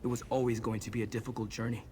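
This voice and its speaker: An actor playing a resolute, level-headed ally in a fantasy video game, speaking firmly and explaining things. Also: male voice, medium pitch, flat delivery, rapid-fire, noisy background, close to an everyday voice. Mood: reassuring. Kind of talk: video game dramatic acting.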